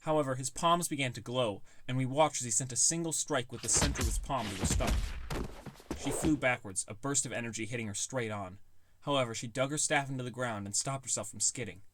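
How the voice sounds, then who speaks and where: A man's voice: mid-range voice, fairly quick, background noise. A man reading scripted first-person fantasy narration as an audiobook narrator.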